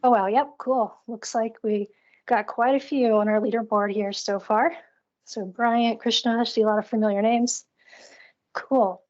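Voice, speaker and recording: The recording is a slightly dull, lo-fi online call. A woman with a highish voice co-hosts and presents a live demo. She talks casually, in an upbeat and chatty manner. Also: fairly even intonation, moderate pace, a little mellow.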